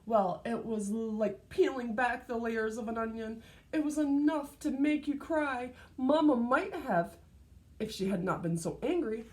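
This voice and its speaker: A woman reading a storybook aloud to young children like a teacher, expressive and deliberate, prompting her listeners. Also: fairly high pitch, a little hiss or hum.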